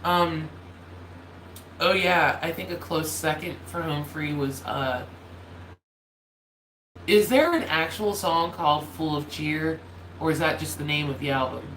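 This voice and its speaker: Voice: mid-range voice, measured pace, pauses often, a bit bright. A nasal voice that cracks a little, drifting through casual livestream chat and often stopping to apologize or restart. The room sounds echoey, with a faint space heater humming behind it. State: voice slightly cracking. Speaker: YouTuber hosting a holiday livestream. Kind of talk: casual rambling chat to viewers. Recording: roomy echo, faint heater hum.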